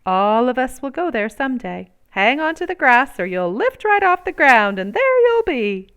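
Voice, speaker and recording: A gentle, wise old storybook grandmother, voiced by a woman reader, speaks with tender, reassuring emphasis. Her voice is smooth and clear, full of love and certainty. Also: sits high, big pitch swings, normal speed, pitched above the performer's own voice, exaggerated voice. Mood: tender, wistful.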